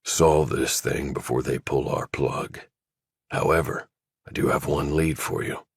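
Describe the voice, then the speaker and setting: A man voicing a stern retired general who runs a covert office, speaking in a commanding, deliberate way as audiobook dialogue. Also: rumbling low, steady tone, vocal fry, husky, no echo, studio quality, full character voice. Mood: grave, businesslike.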